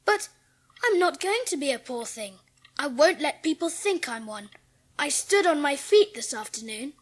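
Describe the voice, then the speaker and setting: A woman's voice: high-pitched, big pitch swings, normal speed, dry recording. A woman playing an imperious, determined young invalid boy in a dramatised audiobook, speaking in earnest declarations. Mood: haughty, defiant.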